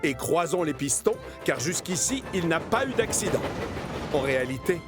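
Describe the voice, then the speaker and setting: Higher-voiced male storyteller over music. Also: moderate pace. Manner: expressive storytelling. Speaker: narrator. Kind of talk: scripted children's story narration.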